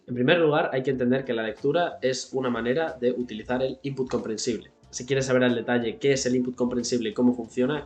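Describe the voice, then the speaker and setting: A man, giving a scripted language-learning lesson straight to the viewer in a brisk, instructive way. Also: mid-range voice, darkish tone, roomy sound. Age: 20s. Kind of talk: scripted explainer to camera.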